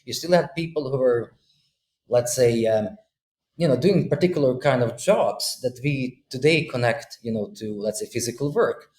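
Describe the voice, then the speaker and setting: A man's voice: mid-range voice, animated, normal speed, a little mellow. A man in his thirties from Bosnia, a podcast guest on a video call, explaining ideas with many fillers and restarts. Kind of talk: thoughtful conversational explanation. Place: room with a window. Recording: roomy video call audio with faint hum.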